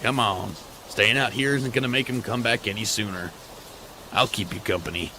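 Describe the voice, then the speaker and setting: A man's voice: on the low side, moderate pace, sharp tone. Man acting a protective survivor, rain behind. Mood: reassuring. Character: protective, persistent male survivor consoling a friend's wife. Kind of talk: dramatic comic dub voice acting. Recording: faint rain and background noise.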